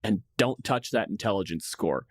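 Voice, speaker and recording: A man's voice: on the low side, animated, normal speed, dry recording, studio quality. A man co-hosting a podcast in casual discussion of tabletop games, opinionated and freely swearing. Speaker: podcast co-host.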